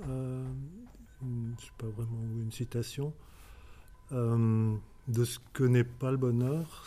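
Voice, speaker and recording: Mellow guy musing off the cuff, slow, hunting for words. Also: medium pitch. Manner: hesitant, searching for words. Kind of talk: unscripted personal reflection.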